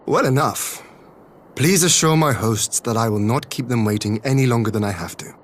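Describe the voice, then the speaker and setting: Guy voicing a noble dutiful lord in a fantasy game, very formal and measured, slight noise behind him. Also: medium pitch, natural voice. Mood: courteous. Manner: formal, measured, stately archaic phrasing. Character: dignified, dutiful male lord guarding his homeland. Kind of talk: video game cutscene voice acting.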